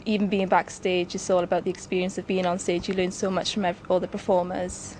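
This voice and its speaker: A woman's voice: lowish voice, level tone, quick pace, darkish tone, slight background noise, rough recording. A young woman chatting casually as she answers interview questions about her backstage job. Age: young adult. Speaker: assistant stage manager being interviewed. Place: backstage at a theatre.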